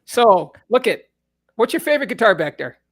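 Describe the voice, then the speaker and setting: A man with a high speaking voice in a casual, playful, teasing chat as he hosts another musician. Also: big pitch swings, moderate pace, dry recording.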